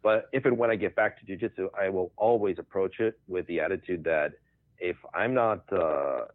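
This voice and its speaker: A man's voice: sits low, normal speed, dry recording. A man who is a podcast guest, chatting casually and explaining his points in a thoughtful way. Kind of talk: casual conversation. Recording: tinny phone-like line.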